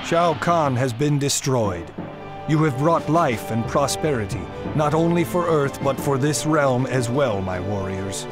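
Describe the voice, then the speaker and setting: Game mentor god, male actor: grave, formal, commanding, middle-pitched, heavy score behind. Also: lightly acted. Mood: solemn, approving. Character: solemn, commanding thunder god mentor of warriors. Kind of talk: video game cutscene acting. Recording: loud music behind the voice.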